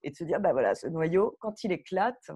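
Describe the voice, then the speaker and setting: A woman speaking casually and spontaneously as a guest director on a panel, animated and digressive in the way she talks. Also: fairly low pitch, normal speed, breathy edge.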